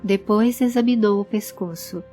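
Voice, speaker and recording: Mellow woman narrator over music. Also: mid-range voice, moderate pace. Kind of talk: scripted audio drama narration. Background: background music.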